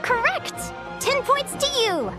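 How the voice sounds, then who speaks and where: A woman's voice: very high-pitched, very animated, slow, music in the background, exaggerated voice. A woman playing a cheerful young adventuring advisor in a video game, teaching like an upbeat quizmaster. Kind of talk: animated video game acting.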